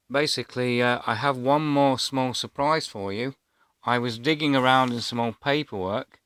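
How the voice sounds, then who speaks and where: Male vlogger, 50s. Medium pitch, close dry sound, rambling and unscripted, feels his way through sentences. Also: fairly bright tone, no echo. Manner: hesitant, rambling. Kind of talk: casual personal storytelling to camera.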